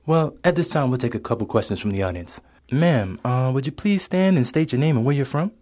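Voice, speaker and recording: A man's voice: mid-range voice, expressive, fairly quick, a little mellow, lightly acted. Male actor as proper, formal talk-radio host in a comedy sketch, very varied intonation. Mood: polite, flustered. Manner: formal, proper, stammering politely. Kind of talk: parody radio show hosting.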